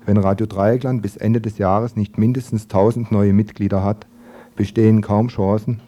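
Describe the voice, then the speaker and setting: A man hosts a local radio news show. His voice sits fairly low and has a very mellow, relaxed tone. He presents items and interviews as a radio newsreader would.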